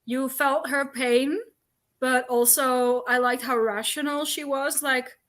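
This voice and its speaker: A woman co-hosting a book livestream, giving opinions in a halting, conversational way and voicing imagined thoughts. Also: high voice, steady tone, a bit bright. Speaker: co-host of a book discussion livestream. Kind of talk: casual opinionated chat. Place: online livestream call.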